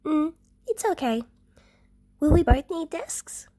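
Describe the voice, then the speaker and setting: Woman voicing a timid little girl, high and soft. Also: expressive, no echo. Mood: shy, earnest. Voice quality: soft, whispery. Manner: halting, shy. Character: timid, sweet little girl, softly hesitant.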